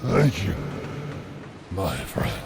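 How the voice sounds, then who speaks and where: A man voicing a huge, pleading sea monster in a fantasy audio story, gravelly and halting. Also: low-pitched, very animated, background noise, exaggerated voice. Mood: grateful. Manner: slow, halting, weighty delivery. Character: huge wounded sea dragon turtle, pleading, ancient-sounding.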